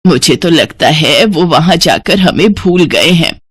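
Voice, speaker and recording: A man's voice: fairly high pitch, animated, a little slow, fairly bright tone, breathy edge, no room sound, exaggerated voice. A man voicing a worried, pleading old village mother-in-law in a moral story cartoon.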